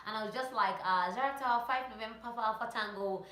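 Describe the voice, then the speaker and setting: A woman giving casual, animated instructional vlog talk, telling anecdotes and repeating phrases for emphasis. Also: on the high side, fast talker. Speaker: vlogger and pilot sharing training tips. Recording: roomy echo.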